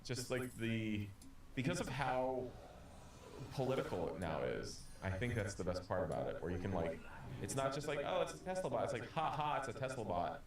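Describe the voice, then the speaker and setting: A man co-hosting a casual podcast, talking in halting bursts with many restarts and "you know"s. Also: medium pitch, animated, recorded from a distance. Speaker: video creator and podcast co-host. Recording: echoey room with faint noise.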